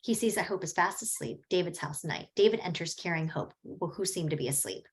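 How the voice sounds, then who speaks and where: A woman reads out the scene headings and stage directions of a script. Her voice is somewhat low and her reading steady and plain. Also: fairly quick. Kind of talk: table read narration.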